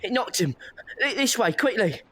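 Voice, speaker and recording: An actress playing a sly young street thief, speaking with urgent, cheeky manner. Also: female voice, medium pitch, sing-song, moderate pace, fairly bright tone, husky. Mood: urgent pleading.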